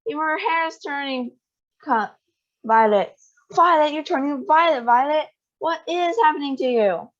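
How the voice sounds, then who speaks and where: Woman putting on a screaming storybook mum, high and frantic, dull recording. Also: expressive, moderate pace. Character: panicking shrieking mother, middle-aged woman.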